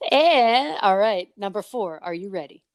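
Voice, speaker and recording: A woman's voice: medium pitch, lively intonation, a little slow, dry recording, clean studio sound. A woman hosting a podcast in a casual, chatty and playful way, with exclamations as she runs quizzes and interviews. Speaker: podcast host.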